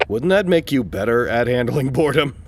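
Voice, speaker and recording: A man's voice: mid-range voice, animated, brisk, crowd noise, lightly acted. A man playing a stubborn, unimpressed holdout in an audio drama, speaking tersely and firmly. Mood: dismissive. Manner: terse, firm.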